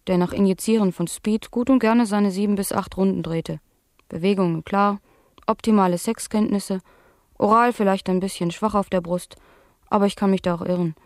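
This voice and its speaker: A woman's voice: medium pitch, no echo, close to an everyday voice. Actress as blasé young woman, dry studio sound. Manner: deadpan, matter-of-fact. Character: blasé young woman, addicted clubgoer giving clinical testimony. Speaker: talk-show guest. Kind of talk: satirical radio play acting.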